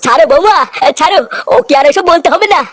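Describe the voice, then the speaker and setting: Cartoon old father: cranky, self-pitying, swearing, chipmunk-high voice at breakneck speed. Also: fast, a bit bright, dry recording. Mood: aching, self-pitying. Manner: aggrieved, foul-mouthed, self-pitying. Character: grumbling old father with a sore back. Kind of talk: Bengali comedy cartoon dubbing.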